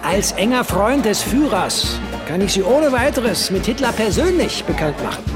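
A man's voice: sits high, big pitch swings, normal speed, over music. A man playing a charming, self-assured, pompous Nazi press official in an audio documentary drama. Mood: smug, emphatic.

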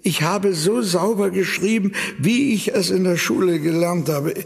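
Casting: an anxious poor farmer, gravelly and slightly high, reverberant room, soft music behind. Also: male voice, measured pace. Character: worried poor village father, humble. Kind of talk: radio drama acting.

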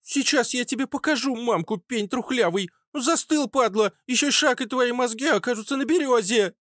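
A man voicing a defiant, foul-mouthed teenage girl with a squeaky, snappy voice in a narrated story. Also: normal speed, fairly bright tone, no room sound, clean studio sound. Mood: furious threatening. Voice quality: squeaky, shrill. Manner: snappy, hissing, insolent.

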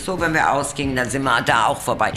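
An older woman recalls old times in a casual interview. Her voice sits low for a woman, and music plays clearly behind her loose, anecdotal talk. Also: fast. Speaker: eyewitness interviewee.

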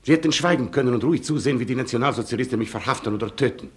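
An actor playing a proud, tormented Polish colonel in a German radio play, declaiming solemnly. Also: male voice, medium pitch, very fast, breathy edge, close to an everyday voice. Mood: bitter, tormented.

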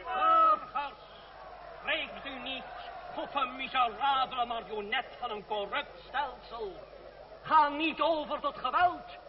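An actor playing a fiery young French revolutionary, giving declamatory, impassioned speeches to townsfolk in a radio drama. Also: male voice, very high-pitched, slow pace. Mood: rousing. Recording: dull lo-fi radio recording, noisy crowd. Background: crowd noise.

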